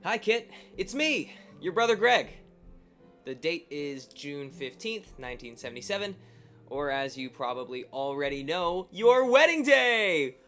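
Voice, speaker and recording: An actor playing a teasing, affectionate young brother, talking casually and sincerely in an audio drama. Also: male voice, fairly high pitch, sing-song, measured pace, fairly bright tone, faint music behind. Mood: cheerful. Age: young adult. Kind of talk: audio drama acting, casual taped toast.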